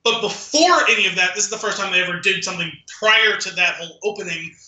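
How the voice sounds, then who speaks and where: A man with a very bright, forward voice that sits high for a male speaker. He rambles conversationally as a podcast co-host in casual movie chat, recorded in an echoey room. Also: fast. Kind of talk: casual chat about a film.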